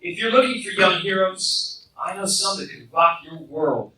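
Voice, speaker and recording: A man's voice: high-pitched, expressive, moderate pace, pushed and bright, slight background noise. A man playing an eager young superhero, chatty and a little sheepish, in a live radio play. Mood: eager pleading. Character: eager, fast-talking young male superhero. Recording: very echoey, distant live recording.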